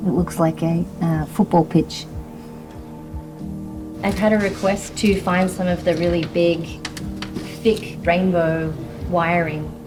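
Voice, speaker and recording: A woman's voice: on the low side, fairly even intonation, slow, pauses often. A woman giving informal, chatty commentary as she works. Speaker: artist and workshop participant. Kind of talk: informal spoken commentary while working. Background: music behind the voice.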